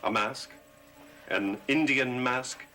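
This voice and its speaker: An actor playing a grave, insistent husband in a gothic television soap, with a solemn, pressing delivery. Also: male voice, mid-range voice, slow, sharp tone, faint music behind. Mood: concerned. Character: grave, insistent husband probing a supernatural mystery.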